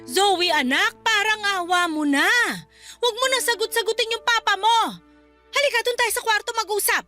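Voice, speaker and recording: A woman's voice: very high voice, moderate pace, sharp tone, faint music behind. A woman acting a worried, long-suffering Filipino mother in a radio drama, pleading and scolding as she tries to keep peace. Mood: alarmed, pleading.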